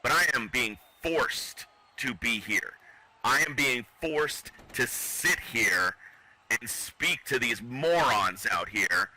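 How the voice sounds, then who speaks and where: A man commentating on wrestling as a cocky heel who brags about himself. His voice is piercingly bright and forceful, at a middle pitch. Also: husky, rough recording. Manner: arrogant, boastful heel persona.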